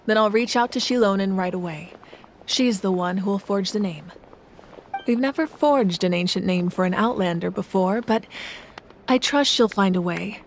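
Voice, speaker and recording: A woman's voice: mid-range voice, a little hiss or hum, close to an everyday voice. Composed, resolute warrior queen, acted by a woman. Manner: measured, formal, solemn. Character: composed, resolute warrior goddess ruling a nation. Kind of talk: video game voice acting.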